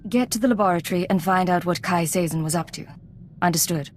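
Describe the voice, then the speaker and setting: An actress playing a commanding, composed secret agent in a video game, with controlled, clipped delivery. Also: female voice, on the low side, quick pace, slight background noise, close to an everyday voice. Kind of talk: dramatic game dialogue.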